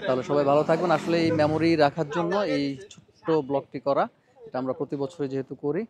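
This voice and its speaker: A Bangladeshi man with a slightly high voice narrates a casual vlog. His talk is informal and sprinkled with English words, as a vlogger showing viewers around. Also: fairly even intonation, fast, a crowd in the background.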